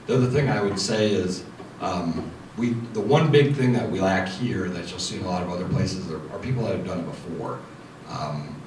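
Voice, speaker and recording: Deep-voiced man on a distant echoey mic. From United States. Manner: earnest, with frequent fillers. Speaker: panelist. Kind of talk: panel introduction. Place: meeting room. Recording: echoey room mic from far off, noisy.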